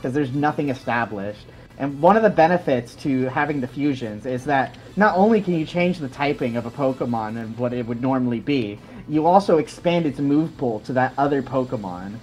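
A man guesting on a gaming stream, rambling excitedly as he explains things. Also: medium pitch, normal speed, few pauses, low-quality recording. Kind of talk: casual gaming chat.

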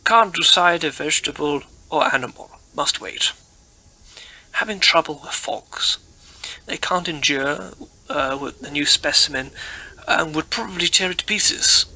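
Man acting a highish-voiced scientist radioing clipped reports. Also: unhurried, pushed and bright, exaggerated voice. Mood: excited, awed. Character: male expedition scientist relaying field discoveries by radio. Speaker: voice actor in an audio play. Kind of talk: amateur audio drama acting, dictated report. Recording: clearly noisy background.

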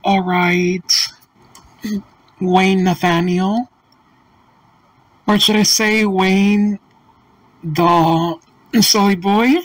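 A sassy young boy gamer talks back in a slow, stiff, mocking cartoon delivery, voiced by a synthetic text-to-speech voice. The pitch sits in the middle, and the pace is very slow and deliberate. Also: no room sound, full character voice, low-quality recording.

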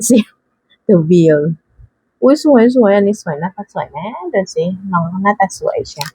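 A woman's voice: medium pitch, expressive, normal speed, darkish tone, husky, no room sound, low-quality recording. A woman giving casual, chatty unboxing commentary, thinking aloud with frequent exclamations and dropping English card names into her talk. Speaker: tarot deck reviewer.

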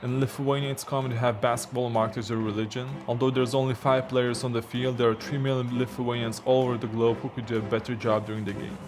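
A man giving a deadpan, sarcastic voice-over for a comedy video. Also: medium pitch, quick pace, few pauses, background noise. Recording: video voice-over with music behind.

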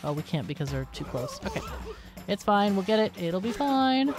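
A woman's voice: mid-range voice, very animated, normal speed, over music. A woman hosting a gaming video, casually thinking aloud and explaining as she plays. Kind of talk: casual gameplay commentary.

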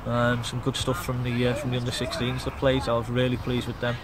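A middle-aged man, a youth football coach giving a measured, matter-of-fact post-match interview outdoors. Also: medium pitch, completely flat delivery, over a noisy crowd, rough recording. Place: outdoors beside a grass football pitch.

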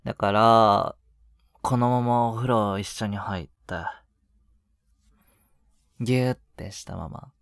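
A man voicing an affectionate, clingy young boyfriend in an intimate audio drama, murmuring softly to the listener with breathy chuckles. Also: lowish voice, fairly even intonation, slow pace, pauses often, no echo, clean studio sound. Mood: sleepy, clingy. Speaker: voice actor.

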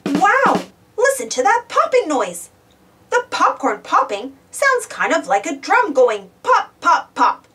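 A woman's voice: extremely high pitch, very animated, takes it slowly, pushed and bright, far from the mic, soft background music. A woman reading a children's storybook aloud, exclaiming and putting questions to young listeners. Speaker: storybook reader. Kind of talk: animated children's read-aloud.

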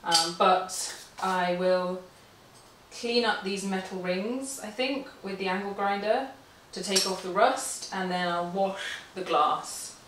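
A woman narrating a quiet slow-living vlog in an unhurried, plain-spoken way. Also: mid-range voice. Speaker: vlogger. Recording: background noise, at times roomy and distant.

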